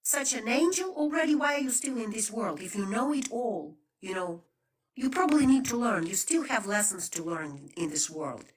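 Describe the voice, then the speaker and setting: A woman with a slightly husky voice makes a passionate appeal. She lists her points one after another and builds in force. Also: a little high, lively intonation, normal speed. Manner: emphatic, lists points, rises in intensity. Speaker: co-host. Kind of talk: impassioned conversational appeal.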